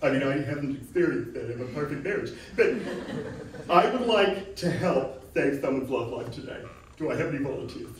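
A woman's voice: rumbling low, animated, brisk, a little hiss or hum. A woman playing a boastful, self-important celebrity love-advice hostess on a small theater stage, with showbiz patter and giggles. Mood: grandiose. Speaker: comedy character performer. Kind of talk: improvised stage comedy. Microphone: far room camera. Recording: echoey theater from far off.

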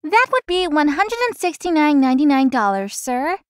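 High-voiced polite young florist. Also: female voice, big pitch swings, moderate pace, dry recording, studio quality. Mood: polite, cheerful. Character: polite, hard-working young female florist. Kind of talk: visual novel game acting.

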